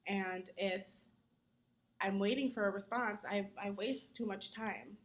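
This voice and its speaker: A young adult woman, a student, giving earnest answers in a mock interview, often pausing on "um". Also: medium pitch, fairly even intonation, highs cut off. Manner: earnest, many "um" fillers; says she talks fast when nervous.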